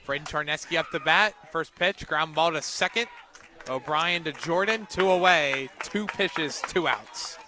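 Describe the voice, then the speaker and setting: Higher-voiced man calling softball, noisy outdoor field. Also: measured pace. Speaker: play-by-play radio announcer. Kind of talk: live softball play-by-play commentary. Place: outdoors at a ballfield, on a platform by tables. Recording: outdoor ballfield noise behind the voice. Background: loud outdoor ambience.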